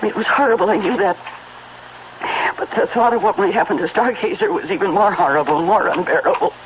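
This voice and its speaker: An actor playing an anguished horse lover confessing a grim deed in a radio mystery, with halting, emotional delivery and repeated phrases. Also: mid-range voice, close to an everyday voice. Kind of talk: dramatic first-person confession in a radio mystery play. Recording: dull, very noisy old radio recording.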